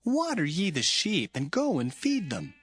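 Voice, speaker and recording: A man's voice: high voice, wildly swooping pitch, a little slow, a bit bright, slightly rough, no room sound, exaggerated voice. An actor playing an earnest young biblical herdsman in a dramatized scripture reading, speaking in a formal, declamatory way. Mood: instructing.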